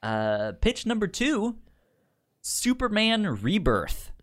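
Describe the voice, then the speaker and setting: A man's voice: high-pitched, wildly swooping pitch, takes it slowly. A man with a pronounced stutter, chatting casually as a podcast co-host and reading synopses aloud. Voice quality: pronounced stutter with repeated sounds and syllables.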